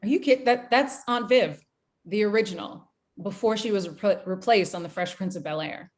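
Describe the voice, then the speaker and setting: A woman acting a bold, sharp, streetwise investigator in a screenplay table read, with punchy colloquial delivery. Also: medium pitch, normal speed, lightly acted. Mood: indignant.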